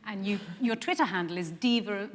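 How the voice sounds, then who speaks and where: A woman's voice: medium pitch, brisk. A woman moderating a live panel debate as its host, addressing panellists in turn. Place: radio theatre stage. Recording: reverberant hall.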